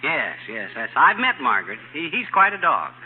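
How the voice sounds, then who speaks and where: An actor with an American accent playing a blustery, folksy, wisecracking husband in a 1940s radio comedy. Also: male voice, a little high, huge pitch swings, normal speed, breathy edge, full character voice. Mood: indulgent, impatient. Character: blustery, folksy middle-aged husband, quick to needle. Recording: tinny, very noisy old radio recording.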